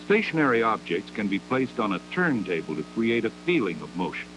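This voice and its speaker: A man narrates a scripted 1960s educational film in a measured, didactic manner, with deliberate phrasing, on an old 16mm soundtrack. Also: fairly low pitch, very animated, music in the background, rough recording.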